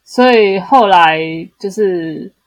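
A woman's voice: medium pitch, slow pace, no room sound. A woman with a Taiwanese Mandarin accent co-hosting a casual book discussion, explaining things hesitantly and often correcting herself. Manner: hesitant, self-correcting explanations with many repeated affirmations. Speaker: podcast co-host.

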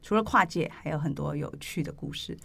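Middle-aged Taiwanese woman with a creaky voice. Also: deep voice, brisk, fairly bright tone. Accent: Taiwanese Mandarin. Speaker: podcast host, publishing editor-in-chief. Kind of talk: conversational interview with reflective storytelling.

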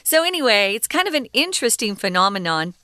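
A woman co-hosting an English lesson podcast, explaining words patiently in a relaxed conversational way with fillers. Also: on the high side, quick pace, dry recording, clean studio sound. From United States. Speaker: co-host teaching English.

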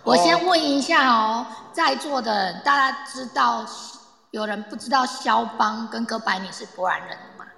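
A woman with a fairly high, creaky voice presents casually to a group. The recording is echoey, with long reverb. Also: moderate pace, pushed and bright. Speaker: show presenter. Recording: echoey reverberant online audio room.

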